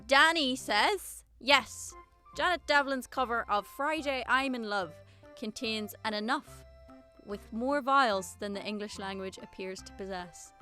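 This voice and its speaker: A woman's voice: on the high side, pushed and bright. A woman in her twenties casually reading out comments and answering them in a sarcastic, self-mocking way. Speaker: singer and YouTuber.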